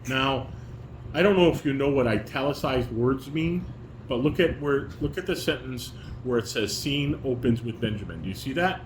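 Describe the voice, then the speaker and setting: A man's voice: medium pitch, lively intonation, normal speed. Male, instructive dad talking to a child, firm and quizzing, roomy echo with noise behind. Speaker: father leading a family table read. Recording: echoey room, background noise.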